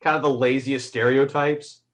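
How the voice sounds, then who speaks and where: A man's voice: mid-range voice, little pitch movement, moderate pace. Man chatting casually about movies. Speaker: film reviewer and podcast co-host. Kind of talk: casual chat reviewing a movie.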